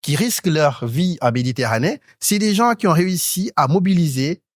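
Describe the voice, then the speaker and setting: A man's voice: fairly high pitch, lively intonation, no room sound, clean studio sound. Articulate man in his thirties. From Senegal. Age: 30s. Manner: articulate and academic, pausing with hesitant fillers. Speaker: talk show panelist. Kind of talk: panel discussion.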